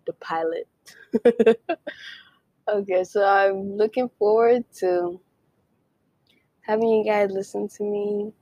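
A woman's voice: mid-range voice, gravelly, warm tone, breathy edge, no room sound, poor audio. A young woman from Nigeria hosting her own podcast, chatting casually with many "like"s and playful whispered asides. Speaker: podcast host. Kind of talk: casual solo podcast chat.

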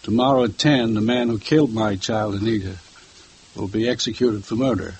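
An actor playing a grieving father set on revenge, speaking in halting, repetitive, politely intense phrases in a vintage radio crime drama. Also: fairly low pitch, darkish tone, natural voice, low-quality recording. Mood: grimly pleased. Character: grieving father of a murdered girl, quietly fixated on revenge. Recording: hissy old 1950s radio recording.

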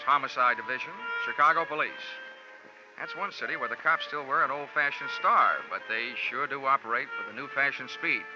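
A man's voice: mid-range voice, natural voice. An American man playing a cynical, wisecracking insurance investigator in hardboiled 1940s radio style, with clipped, sardonic delivery. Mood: dry narration. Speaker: lead actor and narrator of a detective radio series. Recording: muffled vintage radio recording, music.

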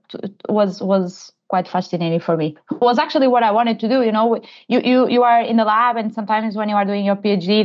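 A woman from Portugal, a native Portuguese speaker with a Portuguese accent, answering interview questions in a conversational, halting way. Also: mid-range voice, dull audio. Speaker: podcast guest, tech transfer professional.

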